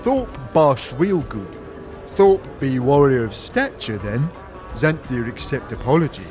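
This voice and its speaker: A man voicing a huge, friendly, simple-minded warrior creature who boasts in halting broken speech, in a fantasy audio drama. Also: mid-range voice, animated, darkish tone, soft background music, lightly acted. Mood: earnest.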